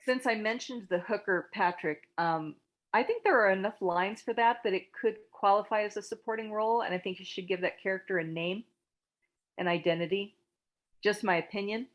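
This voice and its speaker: A woman hosting a table read, giving informal, tactful feedback to the group in a halting, encouraging way. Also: medium pitch, highs cut off.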